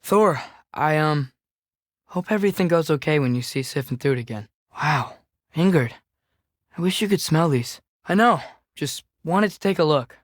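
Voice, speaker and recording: A man's voice: on the high side, lively intonation, moderate pace, no echo, studio quality. A young male actor playing an earnest, eager teenage boy adventurer in a video game, speaking conversationally with small hesitations. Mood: curious, eager. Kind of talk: video game character acting.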